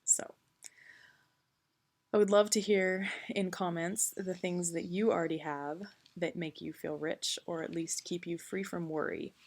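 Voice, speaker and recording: A woman's voice: on the low side, little pitch movement, a little slow. A woman in her late thirties talking earnestly and reflectively to camera in a casual vlog, with frequent ums. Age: 30s. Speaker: vlogger.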